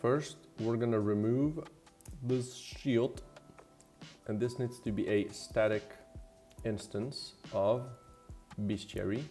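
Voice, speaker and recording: A man chatting casually as he works on a livestream, rambling and thinking out loud. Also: medium pitch, steady tone, slow. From Slovenia. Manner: rambling, thinking aloud, mildly profane. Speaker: game developer and streamer. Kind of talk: casual livestream chat while working. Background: background music.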